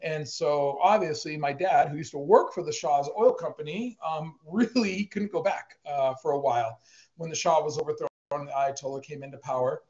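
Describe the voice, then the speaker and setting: A man in his forties, originally from Iran, tells his story in an earnest, rambling way and often repeats himself. His voice sits a bit high for a man. The audio is muffled and lo-fi, like a live-stream feed. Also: moderate pace, darkish tone. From Iran, raised in the United States. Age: 40s. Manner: earnest, repeats words as he talks. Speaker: sports team chaplain and former youth pastor. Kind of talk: casual interview storytelling.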